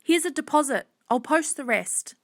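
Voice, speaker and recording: An earnest young girl from a storybook, played by a woman narrator, speaks in a high, urgent voice. She is anxious and apologetic, yet keeps pleading her case. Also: lively intonation, normal speed, dry recording, studio quality. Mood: urgent, insistent.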